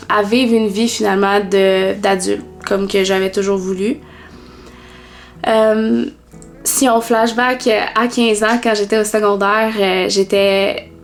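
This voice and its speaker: Hesitant young Québécoise woman. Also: medium pitch. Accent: Québécois French. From Quebec, Canada. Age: 20s. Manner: hesitant, many pauses and fillers. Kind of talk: personal testimony vlog.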